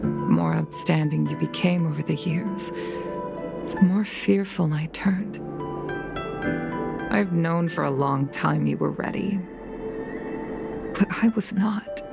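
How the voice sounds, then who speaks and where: An actress playing a centuries-old fox-folk war veteran and mother in a dramatic video game, speaking in a solemn, measured way. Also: sits low, creaky, exaggerated voice. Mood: sorrowful, grave. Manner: solemn, measured, reflective storytelling. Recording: loud music over the voice.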